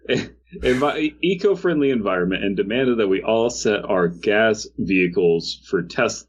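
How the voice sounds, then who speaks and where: A man's voice: fairly low pitch, animated, measured pace, lo-fi sound, slight background noise. A man co-hosting a comedy podcast in casual banter, swearing freely and repeating phrases for comic effect as he rants. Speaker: podcast co-host.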